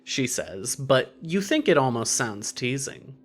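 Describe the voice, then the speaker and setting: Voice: mid-range voice, very animated, a little slow, slightly rough. A narrator reading scripted second-person fiction for an audio story.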